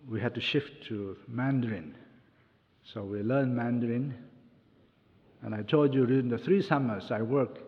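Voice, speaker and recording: An older man, raised in Taiwan with Japanese as his first language, speaks to a gathering at an unhurried, careful pace. He lists things one by one in a plain middle-pitched voice. The recording is dull and lo-fi, with a long hall echo. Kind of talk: addressing an audience.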